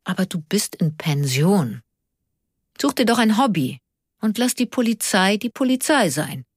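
A woman's voice: medium pitch, big pitch swings, measured pace, slightly rough, dry recording, studio quality, pitched above the performer's own voice. A woman voicing a sensible, cautious older man who reasons plainly and reluctantly, in an audiobook. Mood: reproving. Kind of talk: audiobook character dialogue.

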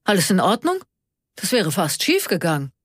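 A woman reads a cautious older man in an audiobook. The voice is low for a female reader and husky. He is sensible and reluctant, reasoning plainly. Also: huge pitch swings, moderate pace, a bit bright, no echo, studio quality, full character voice. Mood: flustered, defensive. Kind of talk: audiobook character dialogue.

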